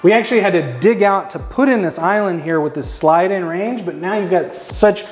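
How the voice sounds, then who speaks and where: A man's voice: high-pitched, moderate pace. Upbeat joking man in echoey rooms. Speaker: house flipper co-host. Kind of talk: casual home-tour chat. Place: empty renovated house, indoors. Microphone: lapel mic. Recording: echoey empty rooms, faint music.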